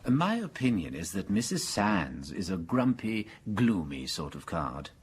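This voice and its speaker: An actor playing a gentle, shrewd British priest in a radio mystery drama, speaking mildly with polite hesitations. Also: male voice, sits low, sing-song, unhurried, breathy edge, exaggerated voice. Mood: musing. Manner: mild, hesitant, stammering politely.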